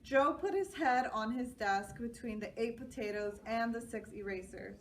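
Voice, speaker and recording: A woman's voice: a little high, normal speed. A woman narrating a children's storybook as a library storyteller. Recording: distant mic, clear background noise.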